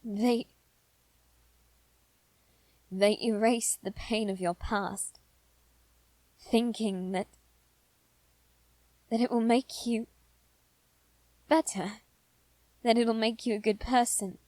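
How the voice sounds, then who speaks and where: Haughty, protective boy noble female actor, measured and halting, intimate dry audio roleplay. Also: on the high side, fairly even intonation, darkish tone, no room sound, rough recording. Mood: somber, earnest. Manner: hesitant, halting, repeating phrase openings. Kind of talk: scripted ASMR roleplay spoken to the listener.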